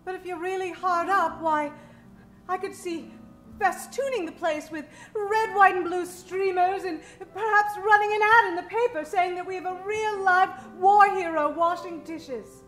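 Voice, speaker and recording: An actress playing an eager, flustered small-town waitress in an old-time radio comedy, speaking in a gushing, hesitant, earnest way. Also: female voice, very high voice, fairly even intonation, a little slow, fairly bright tone, faint music behind. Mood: bright, excited.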